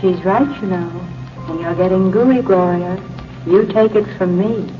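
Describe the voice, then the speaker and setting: A low female voice acted by a woman plays a mocking, nagging conscience in an old radio drama. She needles with sardonic bite over prominent music, in dull vintage broadcast sound. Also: a little slow, close to an everyday voice. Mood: smug, needling. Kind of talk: 1940s radio acting.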